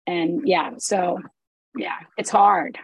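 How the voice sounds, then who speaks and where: A woman's voice: lowish voice, slow, gravelly, breathy edge, no room sound. Woman. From Mexico. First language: Spanish. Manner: animated storytelling, acts out quoted voices. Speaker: guest, film producer. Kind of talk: conversational interview.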